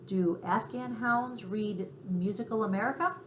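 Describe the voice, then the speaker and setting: A woman's voice: medium pitch, measured pace, close to an everyday voice. A woman voicing a timid, earnest young-sounding male country cricket in a storybook, shy and unsure of himself. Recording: roomy echo, faint background noise.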